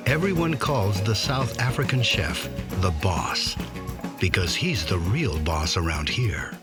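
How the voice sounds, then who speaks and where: A man narrating a nature documentary in a measured scripted delivery. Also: on the low side, very animated. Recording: music bed behind voice-over. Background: music.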